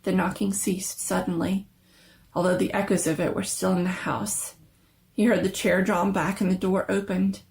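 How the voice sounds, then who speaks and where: A woman giving a dramatic, suspenseful reading of a scary story, with theatrical and deliberate phrasing. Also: on the low side, flat delivery, vocal fry, darkish tone. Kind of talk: dramatic scripted story narration.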